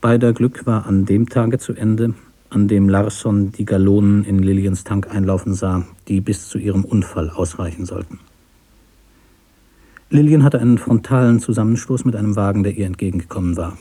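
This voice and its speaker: A man narrating a literary story for radio in a measured, deliberate way. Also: lowish voice, fairly even intonation, warm tone, slightly rough.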